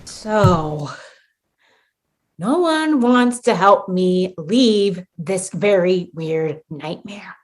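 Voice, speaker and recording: A woman's voice: lowish voice, lively intonation, extremely slow, exaggerated voice. A woman acting in a comedic radio play as a disgruntled, petty schemer trapped in a holiday sitcom, theatrical and defiant. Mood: scheming.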